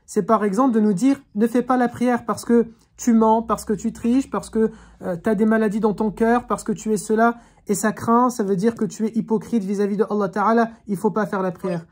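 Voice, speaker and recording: A woman's voice: on the high side, fairly even intonation, moderate pace, darkish tone. A woman teaching an online religious class over a video call, speaking in an earnest, reflective way and urging her listeners on. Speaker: Quran teacher.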